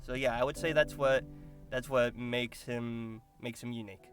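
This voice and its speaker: A man with a medium-pitched voice gives casual interview answers about his acting. He is thoughtful and hesitant, often pausing for the right word. Guitar music is clearly audible behind him. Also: fairly even intonation, a bit bright. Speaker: voice actor being interviewed.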